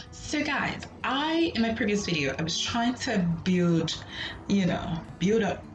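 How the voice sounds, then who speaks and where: A woman, a student therapist hosting her channel, talking casually and rhetorically to camera and checking in with "okay?". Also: lowish voice. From Nigeria. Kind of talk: casual educational talk to camera. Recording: roomy, slightly dull sound with music behind.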